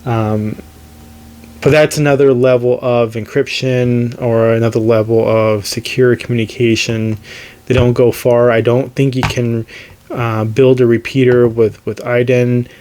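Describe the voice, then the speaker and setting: American male hobbyist vlogger, medium pitch, narrow intonation, loose rambling delivery, unscripted and hesitant. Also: little pitch movement, unhurried, a little mellow. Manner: rambling, hesitant, thinking aloud. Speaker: radio hobbyist video host. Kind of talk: casual unscripted gadget review vlog.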